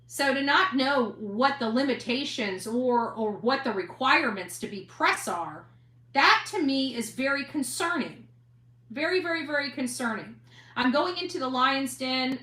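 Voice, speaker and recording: A woman with a bright, forceful and fairly high voice speaks in a blunt, defiant monologue to her followers. She stresses her points like a reporter standing her ground. The recording has a noticeable roomy echo. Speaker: online investigative reporter and host. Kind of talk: impassioned monologue to online audience.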